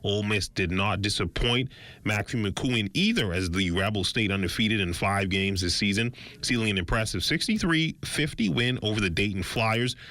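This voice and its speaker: Male sports anchor, bright low voice, measured scripted read. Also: dry recording. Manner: measured, announcer-like delivery. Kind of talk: scripted news reading.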